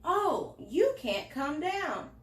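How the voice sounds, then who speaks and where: A woman voicing a grumpy, solitary man with a soft heart from a storybook, in a gruff, complaining manner. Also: sits high, sing-song, extremely slow, pitched above the performer's own voice, heavily acted. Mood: grudging. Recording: roomy, echoing indoor sound.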